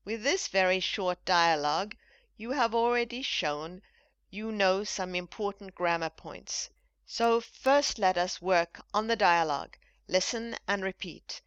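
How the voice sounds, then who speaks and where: A woman hosting an English-lesson podcast, speaking slowly and enunciating carefully for learners. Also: mid-range voice, a bit bright, clear, resonant tone, no echo. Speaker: English teacher and podcast host. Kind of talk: scripted language lesson. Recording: dull lo-fi dry recording.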